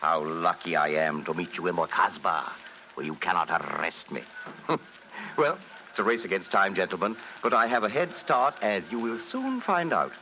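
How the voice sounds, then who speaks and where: Muffled old radio, a suave sneery villain, sharp bright voice, really swoopy. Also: male voice, sits low, animated, normal speed. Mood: mocking, menacing. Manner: sardonic, theatrical mock politeness. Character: suave, mocking gentleman villain, breezily menacing. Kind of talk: 1940s radio acting. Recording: muffled, slightly noisy old radio broadcast.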